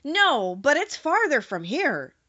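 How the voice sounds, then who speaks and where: A small old humbug wizard from a storybook , acted out by a woman, pitched high and swinging expressively as he confesses earnestly and sorrowfully. The recording is slightly dull. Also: sing-song, slow, bright, forceful tone, exaggerated voice. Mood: explaining. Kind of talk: audiobook character voice.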